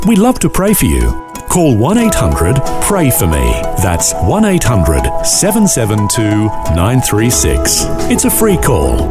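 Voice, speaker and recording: Guy reading a promo, very animated up and down voice, loud music behind him. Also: mid-range voice. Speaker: announcer. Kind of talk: promotional announcement. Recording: announcement over loud music.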